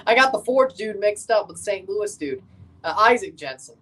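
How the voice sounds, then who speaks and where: A woman's voice: mid-range voice, lively intonation, moderate pace, fairly bright tone, a little hiss or hum. Woman hosting a casual soccer watchalong. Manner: repeats and corrects herself. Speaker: livestream watchalong host. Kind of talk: casual live football commentary and chat with viewers.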